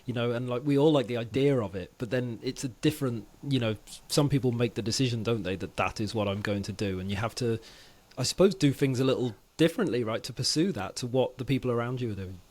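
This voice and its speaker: A man's voice: medium pitch, quick pace, darkish tone. A man from Wales who grew up in England, hosting a podcast in a chatty, friendly way and calling his guest "mate". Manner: chatty, lots of fillers and "mate". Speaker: podcast host. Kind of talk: casual interview chat.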